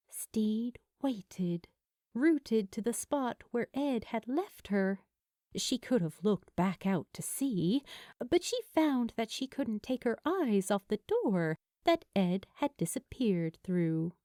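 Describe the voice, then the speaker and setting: Female story narrator, unhurried and animated, mid-pitched, dry recording. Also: no room sound. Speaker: fan fiction narrator.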